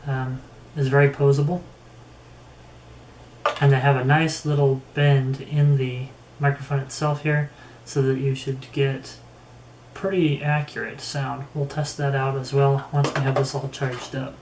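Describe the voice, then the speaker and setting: Man in an echoey room, even-toned reviewer. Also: mid-range voice. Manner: unhurried, halting, thinking aloud. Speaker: gear reviewer. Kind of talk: casual unboxing product review. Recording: roomy echo with faint background noise.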